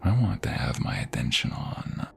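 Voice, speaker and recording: A man playing a protective, flirtatious mobster husband in an ASMR roleplay, gravelly and husky, coaxing his partner with affection. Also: extremely low pitch, expressive, normal speed, fairly bright tone, slight background noise, exaggerated voice. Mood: warm, proud.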